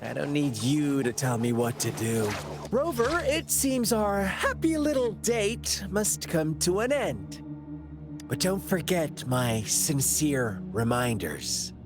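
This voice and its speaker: Smug teasing villain. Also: male voice, a little high, very animated, takes it slowly, exaggerated voice. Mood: mocking. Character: sly mocking young male villain. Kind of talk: game cutscene voice acting. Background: game music.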